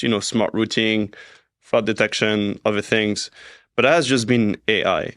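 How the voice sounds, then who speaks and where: A man's voice: fairly low pitch, a bit bright, no room sound, studio quality. A man hosting a payments podcast, asking long conversational questions full of "right?" and "you know". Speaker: podcast host. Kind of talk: casual podcast interview.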